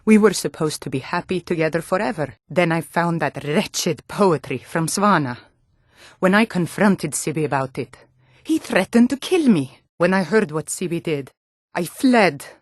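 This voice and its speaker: A woman's voice: fairly low pitch, animated, moderate pace, no echo, clean studio sound. A woman voicing a proud, blunt adult Nordic townswoman in a fantasy video game, with earnest, dramatic delivery. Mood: frightened, pleading. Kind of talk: scripted fantasy game dialogue.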